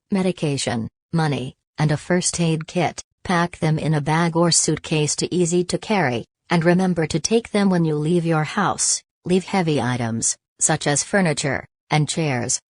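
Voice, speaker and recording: A woman with a low voice reads an official emergency notice. Her delivery is formal and instructional, recorded dry and close with no room sound. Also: a little mellow, dry recording. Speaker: emergency alert announcer. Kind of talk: scripted evacuation notice.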